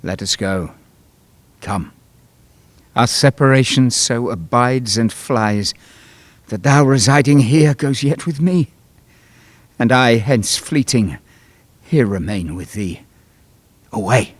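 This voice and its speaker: An actor playing a proud, earnest Roman general and lover in classical Shakespearean stage style, formal and placating. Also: male voice, mid-range voice, slow, pauses often, no room sound. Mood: tender resolve. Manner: formal, impassioned declamation.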